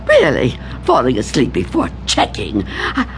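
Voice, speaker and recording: An actress playing a frightened, suspicious shut-in old woman in a radio drama, fretful and halting, repeating herself. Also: a bass voice, wildly swooping pitch, soft background music, heavily acted. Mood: anxious muttering.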